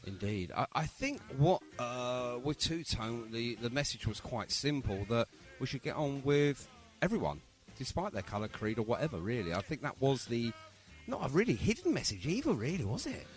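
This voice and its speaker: A male radio host in casual interview mode. His pitch moves up and down in a lively way as he rambles along, chatty and ready with a joke. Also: normal speed, few pauses, faint music behind. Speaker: radio show and podcast host.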